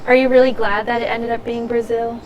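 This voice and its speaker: A woman interviewing a guest in a casual chat, asking questions and encouraging her with quick replies. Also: on the high side, level tone, dull audio, a little hiss or hum.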